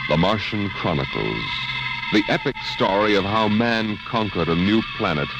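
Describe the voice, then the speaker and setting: A man's voice: fairly low pitch, animated, normal speed, creaky. A man reading a programme preview in dramatic 1950s radio style. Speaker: radio announcer. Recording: dull old radio broadcast, loud music.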